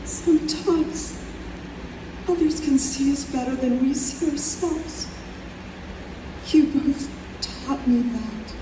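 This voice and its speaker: A woman's voice: sits high, slow, pauses often. A woman playing an ailing, tender-hearted mother with a weak, coughing delivery in an old time radio drama. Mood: tender, dying. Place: convention hall stage. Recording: echoey hall, distant, noisy.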